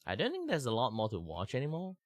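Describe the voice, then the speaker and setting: A young man from Malaysia, slightly sick with a rough throat, chatting casually and rambling on his art stream with many fillers and restarts. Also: mid-range voice, wildly swooping pitch, fast talker, dry recording.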